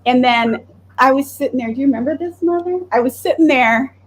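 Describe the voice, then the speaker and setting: Wisconsin woman host, somewhat high voice, chatty, animated storyteller. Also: brisk. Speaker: podcast host. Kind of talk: casual chat.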